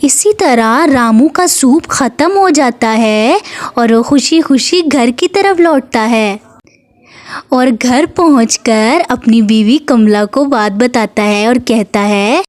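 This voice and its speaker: Slow, high-voiced woman storyteller. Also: a little mellow. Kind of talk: scripted narration of a moral story.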